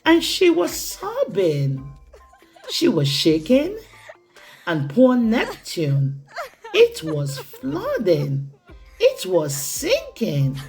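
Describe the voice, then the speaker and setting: A woman reading a children's picture book aloud in an expressive, theatrical way, with deliberate phrasing for young listeners. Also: a little high, over music. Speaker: children's storytime reader.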